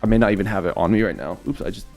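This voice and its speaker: A young man with a slight natural rasp and a lowish voice chats casually with his stream audience. He rambles loosely, full of fillers and restarts. Soft music plays faintly behind him. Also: animated, rapid-fire. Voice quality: slightly raspy. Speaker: streamer answering viewer questions. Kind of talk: casual livestream Q&A chat.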